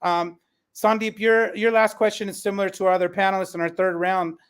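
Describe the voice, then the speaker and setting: High-pitched man hosting a panel discussion. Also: flat delivery, fairly quick, fairly bright tone, clear, resonant tone. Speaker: podcast panel host and educator. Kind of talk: conversational panel moderation.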